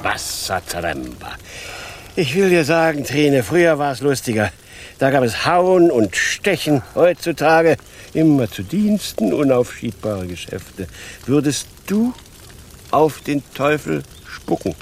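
A man's voice: a little high, sing-song, takes it slowly, a little hiss or hum, heavily acted. An actor playing a boastful, grumpy, sly devil in a theatrical radio play, switching between threats and folksy wit. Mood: scheming, lively. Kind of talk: German radio play acting.

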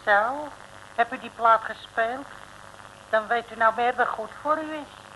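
A woman's voice: a little high, unhurried, pushed and bright. An actress playing a sly, brusque old landlady in a vintage radio serial, with dry delivery. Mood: menacing. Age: older. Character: curt, sly old landlady serving a crime boss. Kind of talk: early-1960s radio serial acting. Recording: noisy vintage radio recording.

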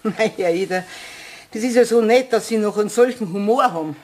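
A woman's voice: mid-range voice, fairly quick, a little mellow, close to an everyday voice. A woman playing a flustered, grumbling cleaning woman with a Bavarian accent in a German radio play, exclaiming and muttering. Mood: apologetic, flustered.

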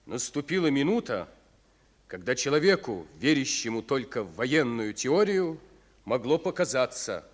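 An actor narrating a Soviet wartime radio play in a grave, deliberate, declamatory manner. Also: male voice, mid-range voice. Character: solemn narrator of a wartime epic. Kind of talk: solemn dramatic scripted narration of a Soviet war radio play. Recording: old radio play, loud music behind. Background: music.

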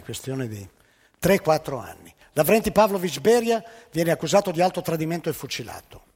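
Man, husky creaky voice, ironic theatrical monologue, emphatic delivery, live hall reverb. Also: fairly high pitch. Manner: emphatic, ironic, conversational asides to the audience. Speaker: stage storyteller. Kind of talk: theatrical comic-satirical monologue on stage. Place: theatre stage.

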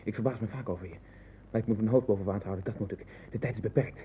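An actor in a 1960s radio play voicing a troubled man sinking into obsessive visions, erratic and husky. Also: fairly low pitch, rapid-fire, slight background noise, close to an everyday voice. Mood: brooding, suspicious. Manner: erratic, swinging from hushed brooding to loud agitation. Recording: muffled narrowband old radio recording.

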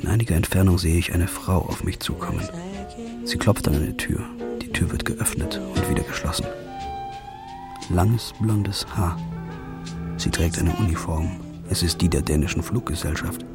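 A weary man tells his story in the first person, his voice very deep and low. Loud music plays beneath the narration of this radio play. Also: very animated, moderate pace. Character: weary, drifting man hunting his girlfriend's killer. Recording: music underneath.

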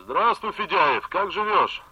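Dull phone-line voice: breezy, commanding big boss, animated, audio drama. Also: male voice, high-pitched, unhurried, crowd noise. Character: breezy, commanding male superior on the telephone. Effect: telephone voice. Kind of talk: audio play acting. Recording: muffled telephone line.

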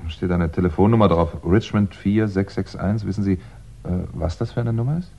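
A hunted male crime novelist in a vintage German radio thriller. The voice is low and dark with a slightly husky grain, measured and wry. The recording is dull, like old mid-sixties radio. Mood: concerned. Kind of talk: 1960s German radio crime play acting.

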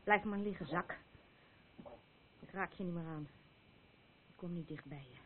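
A woman's voice: lowish voice, unhurried, close to an everyday voice. A woman playing a weary, scolding daughter in a radio play, blunt and exasperated. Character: Selma, weary, scolding daughter looking after a drunkard. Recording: tinny, noisy old radio recording.

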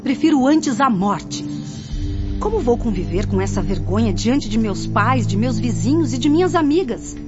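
Dramatic, high-voiced distraught young woman, an actress's part. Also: expressive, moderate pace, natural voice. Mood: anguished. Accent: Brazilian. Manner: theatrical, pleading. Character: distraught young woman, proud, refusing motherhood. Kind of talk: radio drama acting. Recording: dull lo-fi radio drama, music behind.

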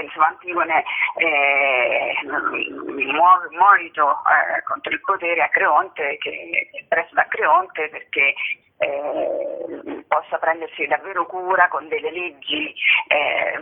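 Husky, creaky woman on a phone line. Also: on the low side. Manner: earnest, halting, searching for words. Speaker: guest performing artist and author. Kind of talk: radio interview, explaining a theatre show. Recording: narrow-band telephone line on radio.

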